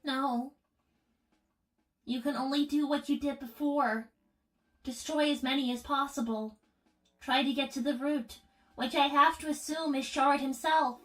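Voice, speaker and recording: Woman as a high-voiced, solemn little robot girl. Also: fairly even intonation, a little slow, pauses often, soft background music, rough recording. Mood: resigned. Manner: formal, precise, subdued. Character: young girl robot, solemn, withdrawn, despondent little sister. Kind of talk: improvised in-character livestream roleplay.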